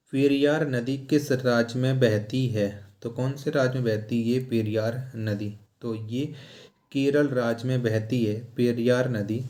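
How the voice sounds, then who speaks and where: A man's voice: mid-range voice, a little mellow. A man teaching in a quiz-style lecture, posing short questions and repeating the answers. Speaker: teacher for competitive exam general knowledge.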